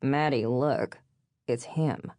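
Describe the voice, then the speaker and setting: A woman's voice: extremely low pitch, takes it slowly, dark tone, no room sound. A sassy best-friend type from an audiobook, voiced by a female narrator. She is a young woman with a teasing, blunt streak. Her speech is informal and punchy, in a dry recording. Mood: mocking.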